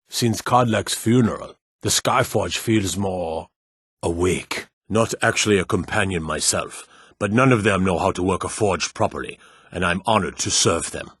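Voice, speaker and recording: Old blacksmith, gruff and proud, low husky voice, measured plain speech, fantasy game, dry close recording. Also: male voice, no room sound. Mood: quietly awed. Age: older. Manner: plain-spoken, measured, weighty. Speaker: video game voice actor. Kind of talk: fantasy game character dialogue.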